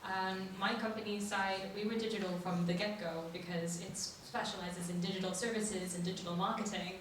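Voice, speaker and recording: A woman's voice: medium pitch, fairly even intonation, moderate pace, a little hiss or hum. A woman speaking as an entrepreneur guest on a live panel, fluent and upbeat. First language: English. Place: conference room with audience. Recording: echoey hall, voice from a distance.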